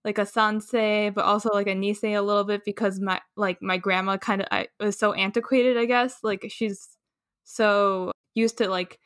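Young woman, podcast guest, casual rambling talk, lots of fillers, mid pitch, dry close sound. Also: little pitch movement, normal speed, a bit bright, dry recording. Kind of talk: casual conversation.

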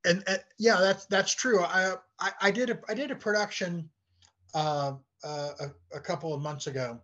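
An American man chatting casually as an interview guest, telling anecdotes in a halting way and often repeating words. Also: high voice, a bit bright. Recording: dull, lo-fi call-like audio.

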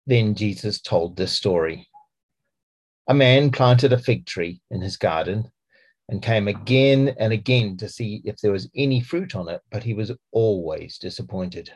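A man with a New Zealand accent, a church speaker reading scripture aloud for a podcast in a measured, expressive way. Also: on the low side, husky, rough recording.

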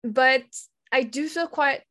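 Woman, higher voice, thinking out loud, restarts a lot. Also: slow pace. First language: French. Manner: reflective, searching for words. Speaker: secondary school teacher, guest. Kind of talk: conversational podcast interview.

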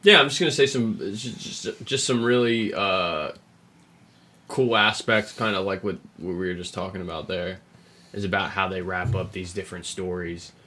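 Man, low-pitched, husky, sweary, rambling podcast host, casual game lore chat. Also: moderate pace, sharp tone.

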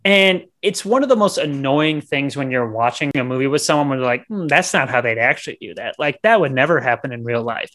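A man's voice: medium pitch, animated, fairly quick, few pauses, a bit bright. A man co-hosting a podcast, chatting casually about a film in a blunt, slangy way. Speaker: podcast co-host. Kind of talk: casual movie discussion.

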